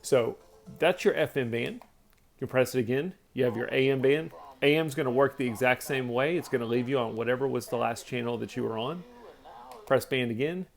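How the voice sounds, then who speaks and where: A man's voice: medium pitch, a little fast, gravelly, faint music behind. A man giving a casual product demonstration, explaining things step by step in a plainspoken way. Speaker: product reviewer.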